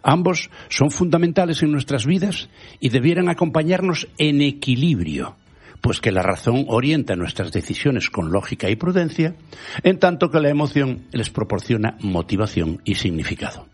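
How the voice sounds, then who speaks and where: A man whose first language is Galician, hosting a radio show with a measured, rhetorical scripted editorial. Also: medium pitch, animated, husky, soft background music. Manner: measured, rhetorical, quotes proverbs and thinkers.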